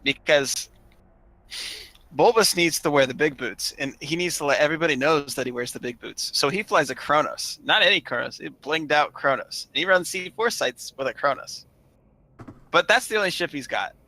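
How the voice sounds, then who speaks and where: A man casually telling gaming stories in an animated, emphatic way, swearing freely. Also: on the high side, moderate pace, poor audio. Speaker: online gaming group member telling stories.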